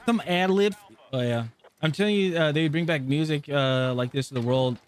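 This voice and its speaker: A man's voice: fairly high pitch, moderate pace, a little hiss or hum. A man giving casual, slangy live gameplay commentary with a playful manner, as a game streamer. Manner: slangy, playful, breaks into song.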